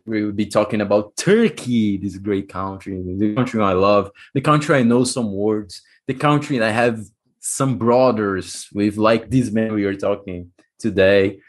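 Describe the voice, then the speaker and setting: A young man from Brazil leads a relaxed podcast chat over a video call. His voice sits a little low, and his delivery is loose and excitable, with swearing and bursts of laughter. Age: young adult. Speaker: podcast host. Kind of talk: casual chat.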